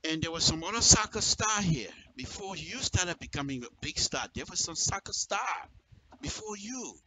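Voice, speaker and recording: Forceful, animated Liberian man. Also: high voice, moderate pace, a little hiss or hum, low-quality recording. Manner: emphatic, repeats phrases for effect. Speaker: radio commentator. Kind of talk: impassioned political commentary, direct address.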